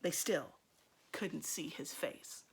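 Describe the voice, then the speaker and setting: A woman teacher reading a children's storybook aloud, expressively and with deliberate dramatic emphasis. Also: sits low, animated, gravelly, husky.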